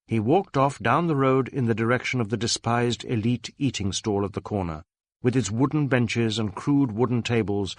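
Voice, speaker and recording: A man's voice: medium pitch, dry recording. A man reading a detective novel aloud as scripted dramatic narration. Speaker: audiobook narrator.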